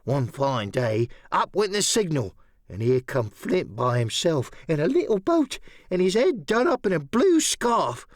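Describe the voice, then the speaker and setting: A half-crazed old castaway sailor in a for an audiobook radio play. The voice is pitched high and leaps up and down as he rambles, repeats himself and confides with sly shrewdness. The sound is close and dry. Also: sing-song, measured pace, no room sound. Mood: confiding, excited. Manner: rambling, repetitive, conspiratorial.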